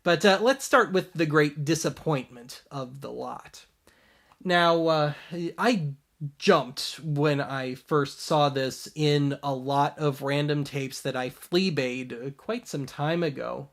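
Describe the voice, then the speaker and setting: A man's voice: fairly high pitch, takes it slowly, creaky, fairly bright tone. A man hosting a show in a casual, wry commentary style. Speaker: host of a show about old tapes.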